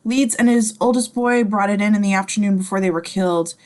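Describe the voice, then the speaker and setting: A woman playing a blunt, weary male police chief in a table read, with halting delivery. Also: medium pitch, a little mellow, close to an everyday voice. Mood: businesslike, weary. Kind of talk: screenplay table read acting.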